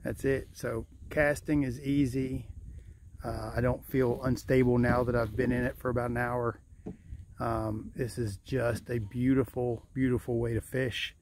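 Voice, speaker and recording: A man at a middle pitch chats loosely from a kayak, trailing off into fillers and restarting sentences. He is recorded outdoors on a body-worn camera, and clear background noise runs under his voice throughout. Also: level tone, unhurried, a little mellow. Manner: chatty, rambling with fillers and restarts. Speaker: vlogger fishing from a kayak. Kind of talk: casual first-person vlog. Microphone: point-of-view action camera on the body.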